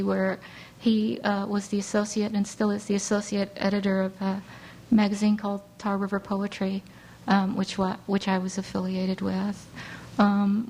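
A woman poet raised speaking Hawaiian Pidgin English, giving a measured reading of her poems with halting asides between them. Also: mid-range voice, darkish tone, low-quality recording. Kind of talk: poetry reading to an audience.

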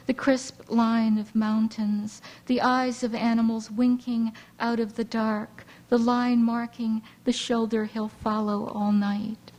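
A woman's voice: a little high, poor audio. Woman poet reading her verse. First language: Hawaiian Pidgin English. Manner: measured, unhurried reading with soft, halting asides between poems. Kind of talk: poetry reading to an audience.

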